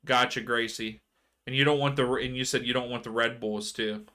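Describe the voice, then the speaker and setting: A man hosting a live soccer watchalong stream, chatting casually with viewers and ranting about fouls. His voice is going hoarse. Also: mid-range voice, steady tone, normal speed, pushed and bright. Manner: chatty, reads chat aloud, rants about fouls. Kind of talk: casual live match commentary.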